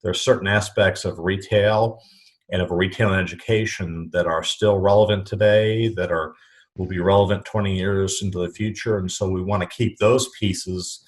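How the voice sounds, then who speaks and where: A middle-aged man from the Chicago suburbs, a retail educator, speaking as a podcast guest in a thoughtful way, often repeating words as he restarts phrases. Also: low voice. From Chicago suburbs, USA. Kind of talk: conversational podcast interview.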